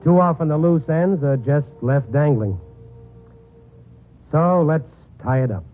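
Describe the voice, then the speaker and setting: An actor playing a grim, wry newspaper reporter who narrates a noir radio drama in a brooding, clipped, dramatic way. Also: male voice, fairly high pitch, unhurried, faint music behind, close to an everyday voice. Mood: somber, wry. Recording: tinny 1950s radio broadcast recording.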